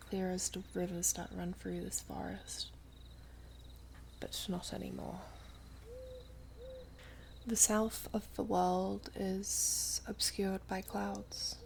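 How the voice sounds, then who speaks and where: Woman as a slow, half-whispering ageless elven maiden. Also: medium pitch, a little hiss or hum. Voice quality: soft, breathy, half-whispered. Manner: archaic, unhurried, gently condescending. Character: ageless elven maiden, gently teasing, wistful, otherworldly. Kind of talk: ASMR roleplay, slow intimate storytelling.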